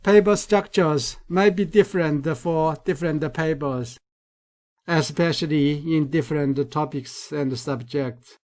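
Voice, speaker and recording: Chinese-accented male researcher, lecture voice-over, unhurried and careful, slightly raised pitch. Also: a little mellow. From China. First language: Mandarin Chinese. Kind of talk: recorded lecture.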